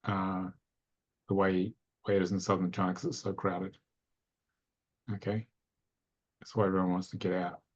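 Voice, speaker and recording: Deep, breathy-voiced male instructor, talkative and animated, dull video-call sound. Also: moderate pace, long pauses. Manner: expressive, talkative, anecdotal. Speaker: course instructor. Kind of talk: casual teaching chat on a video call.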